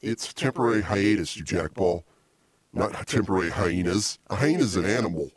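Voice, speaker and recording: A middle-aged man hosting a casual comedy show, rambling and teasing with deadpan insults, on a small desk mic in a home music studio. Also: low-pitched, moderate pace, slightly rough, no room sound. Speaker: podcast host. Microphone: small desk mic stand.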